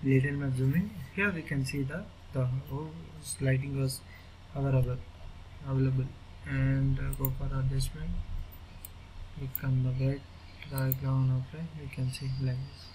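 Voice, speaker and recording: A man with an Indian English accent walking through a software tutorial, speaking haltingly as he clicks through steps. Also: medium pitch, little pitch movement. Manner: halting, thinking aloud as he clicks. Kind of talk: screen-recorded software tutorial. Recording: noisy screen recording.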